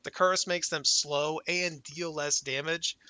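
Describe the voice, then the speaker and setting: A young man with a very bright, forward voice sits close to a dry, dead-sounding mic, chatting loosely about his game. He swears casually and sounds excited, though his voice is strained and fading from a cold. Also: fairly high pitch, slow pace, clear, resonant tone, no echo. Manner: laid-back, enthusiastic, swears casually. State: voice going hoarse from illness. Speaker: gaming channel host. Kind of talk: casual gameplay commentary.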